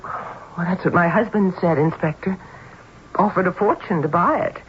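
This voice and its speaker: An actress playing a fragile, anxious well-to-do wife in a vintage radio mystery, faltering and hesitant in a melodramatic style. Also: female voice, fairly low pitch, normal speed, close to an everyday voice. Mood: anxious, faint. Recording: muffled, hissy old radio broadcast.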